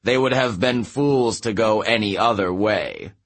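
A man's voice: on the low side, measured pace. A man voicing a pompous, commanding giant king in a growling fantasy audiobook voice. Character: imperious giant king, booming, scornful, commanding.

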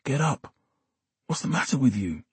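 A man voicing a worried young English private who speaks in a hushed, urgent way. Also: mid-range voice, big pitch swings, moderate pace, husky, no echo, voice pushed up.

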